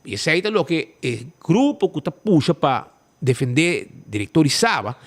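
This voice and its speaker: A man hosting a live show, with a high voice that leaps all over the place. He talks in casual, animated bursts and trips over his words with repeated restarts. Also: normal speed. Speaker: show host.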